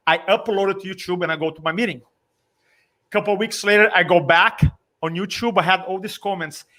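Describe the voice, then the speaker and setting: A man in his fifties from Brazil with a Brazilian Portuguese accent, a communication coach telling stories in a lively way and acting out his own reactions. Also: high voice. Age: 50s. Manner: animated storyteller, acts out his own reactions. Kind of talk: casual interview chat.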